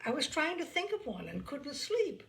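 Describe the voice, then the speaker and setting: A woman's voice: high-pitched, sing-song, moderate pace, vocal fry, fairly bright tone, pitched above the performer's own voice, full character voice. Woman playing an insistent, irritable young son. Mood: defensive. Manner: urgent, insistent, snappish. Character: restless, irritable young son telling off his father.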